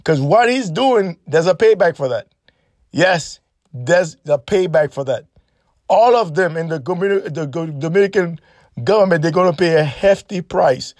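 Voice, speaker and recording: A man's voice: a little high, animated, moderate pace, a little mellow, no room sound, clean studio sound. A man giving an impassioned, preachy political monologue, emphatic and repetitive, who grew up in Haiti. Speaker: online commentator.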